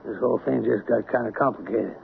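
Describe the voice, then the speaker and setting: A man's voice: fairly low pitch, fast, vocal fry. An American man playing a folksy, plain-spoken small-town sheriff, hesitant and apologetic yet probing, in an old-time radio drama. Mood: puzzled, chatty. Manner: folksy, hesitant, rural turns of phrase. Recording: narrow, noisy old radio broadcast.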